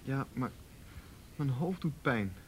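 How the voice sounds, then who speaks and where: A man's voice: mid-range voice, animated, takes it slowly, breathy edge, low-quality recording. An actor with a Netherlands Dutch accent playing a sullen, evasive fifteen-year-old boy in a radio drama. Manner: reluctant, curtly polite.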